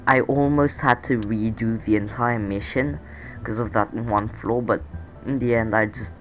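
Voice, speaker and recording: A man's voice: medium pitch, rough recording. A man with a sore throat and a nasal voice, rambling through casual game commentary with many fillers and restarts. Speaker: gameplay commentator.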